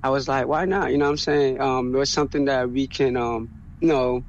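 A man from Ghana speaking as an interview guest, in an even, flat tone with a slightly dull, lo-fi sound. He hesitates often and leans on fillers as he gathers his thoughts. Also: mid-range voice, a little fast. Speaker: guest, community fashion organizer. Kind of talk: casual interview.

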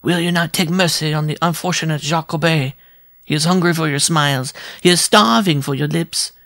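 A man voicing a proud, irascible, lovesick little French circus dwarf from a storybook, shrill and grandiose in manner. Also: brisk, a bit bright, no echo, clean studio sound. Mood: pleading lovesick. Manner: grandiose, theatrical, florid. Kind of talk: storybook character voice.

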